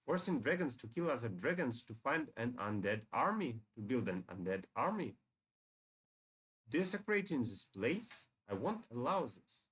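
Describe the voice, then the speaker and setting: A man's voice: mid-range voice, big pitch swings, unhurried, a bit bright, husky, heavily acted. A man voicing a righteous, solemn dragon hero from a fantasy game in an earnest way. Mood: indignant.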